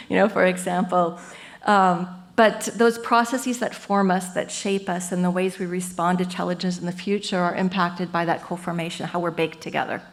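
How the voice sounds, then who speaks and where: A woman professor giving an academic lecture at a symposium, lively and earnest, explaining ideas through everyday metaphors. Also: lowish voice. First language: English. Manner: lively and earnest, with frequent "you know" and "um".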